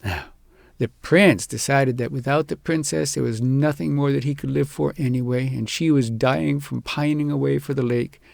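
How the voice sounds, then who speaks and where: Male audiobook narrator for fairy tales, mid-pitched with some gravel, expressive measured read, dry close sound. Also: no echo. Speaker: audiobook storyteller and host. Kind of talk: scripted storytelling narration of a fairy tale.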